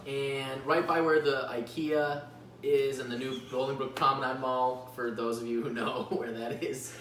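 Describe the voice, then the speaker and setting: An American man co-hosts a casual podcast, rambling in an animated, joking way and acting out little exchanges. His voice sits back from the microphone in an echoey room, with a faint hum in the recording. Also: medium pitch, measured pace. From Chicago suburbs, United States. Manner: animated, rambling, swears freely, acts out quoted exchanges. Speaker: podcast co-host. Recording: roomy echo, distant mic, faint hum.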